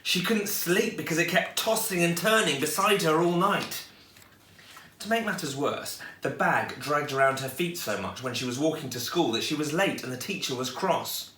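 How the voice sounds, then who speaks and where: A man reading a children's story aloud in an expressive storytelling style. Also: a little high, normal speed, a little hiss or hum. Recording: echoey room, distant microphone.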